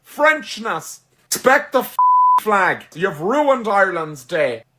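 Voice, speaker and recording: A man's voice: high voice, sing-song, slow pace, bright, forceful tone. A man delivering an indignant, emphatic, sweary comedic rant.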